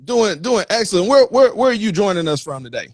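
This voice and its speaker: A man hosting a live interview in a conversational way, haltingly circling back and repeating words as he frames his points. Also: sits high, very animated, dry recording, clean studio sound. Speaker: interview host.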